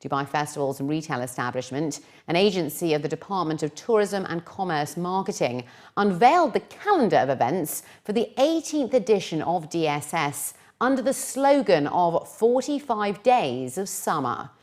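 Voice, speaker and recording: A woman's voice: on the low side, sing-song, normal speed, darkish tone. A woman reading scripted television news as a presenter.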